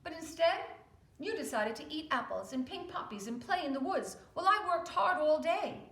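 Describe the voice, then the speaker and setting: A woman voicing the oldest male pig in a storybook tale, scolding his brothers in a measured way. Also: high-pitched, lively intonation, fairly bright tone, roomy sound, pitched above the performer's own voice.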